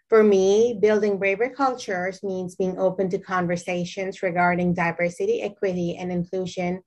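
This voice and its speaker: A woman from Nepal, a graduate student, giving a polite, prepared introduction on a video call. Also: lowish voice. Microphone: webcam video call.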